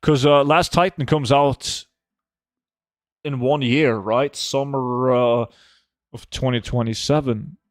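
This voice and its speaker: A young man streaming a game, chatting casually and answering viewer questions in a relaxed, offhand way. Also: mid-range voice, takes it slowly, no room sound, clean studio sound. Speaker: gaming streamer reading chat. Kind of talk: casual live gameplay chat.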